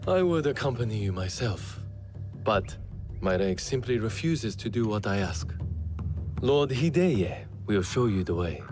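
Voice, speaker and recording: An actor playing a courteous, solemn samurai lord and strategist in a video game, formal and composed. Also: male voice, lowish voice, expressive, unhurried, over music. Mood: courteous, serious. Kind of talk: video game cutscene acting.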